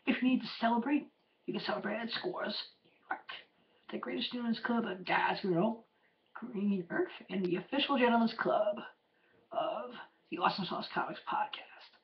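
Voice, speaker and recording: Hoarse man rambling on his podcast. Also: high voice, dull audio. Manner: chatty and loose, heavy with fillers and plugs. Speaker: podcast host. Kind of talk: casual rambling podcast monologue. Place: room at home with a window.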